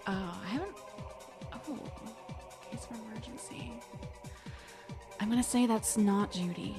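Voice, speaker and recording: A woman co-hosting a podcast in casual banter, talking in an animated, giggly, expressive way. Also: mid-range voice, a little slow, music in the background. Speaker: podcast co-host. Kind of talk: casual banter between co-hosts.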